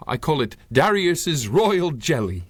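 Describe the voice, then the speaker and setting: An actor playing an earnest, eccentric old scientist in a radio drama, formal and grandiose, easily flustered into stammering. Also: male voice, on the high side, very animated, takes it slowly, no echo, exaggerated voice. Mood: proud, earnest. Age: older. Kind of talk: 1930s-style radio drama acting.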